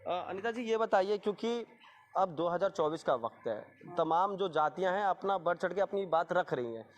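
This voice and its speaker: A man's voice: high voice, lively intonation, normal speed. A man working as a field reporter, asking questions in vox pop street interviews for TV news.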